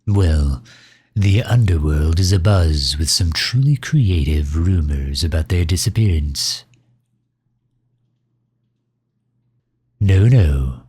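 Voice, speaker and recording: A man's voice: sits low, mellow, husky, no room sound, studio quality, full character voice. A man playing a pompous, suave megalomaniac villain in an ASMR audio roleplay, with leisurely, formal wording and impatience toward his servant. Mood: musing, amused.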